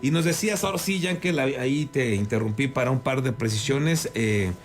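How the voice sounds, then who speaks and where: A man's voice: medium pitch, fairly quick, soft background music. A man with a Mexican accent hosting a news interview in a conversational way. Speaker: news program host, interviewer.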